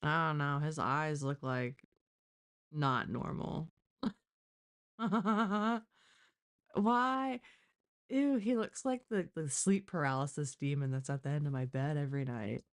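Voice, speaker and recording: A woman's voice: deep voice, fairly even intonation, slow, long pauses. A woman hosting a live stream, giving casual, blunt and mocking reaction commentary full of exclamations. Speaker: live stream host reacting to a crime case.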